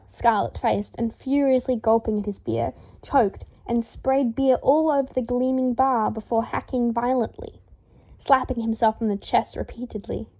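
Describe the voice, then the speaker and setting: A woman reading fiction aloud as an expressive audiobook narrator, in a slightly dull lo-fi recording. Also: on the high side, creaky. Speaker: fan fiction audiobook reader.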